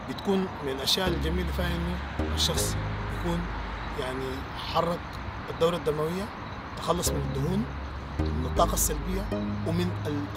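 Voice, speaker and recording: A man's voice: on the high side, distant mic. A man in his thirties, a writer, giving earnest advice in an outdoor night interview with many fillers. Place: outdoors at night by a lit waterfront.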